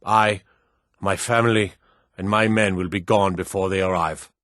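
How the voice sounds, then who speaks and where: Deep, stern fantasy soldier. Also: male voice, steady tone, normal speed, a bit bright, no echo, studio quality. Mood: resigned, grave. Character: stern, battle-hardened male soldier in a fantasy war. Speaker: video game voice actor. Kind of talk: scripted video game voice acting.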